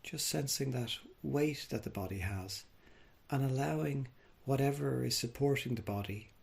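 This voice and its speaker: Guy teaching meditation, husky creaky voice, gentle and unhurried w long pauses. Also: mid-range voice. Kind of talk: guided body scan meditation.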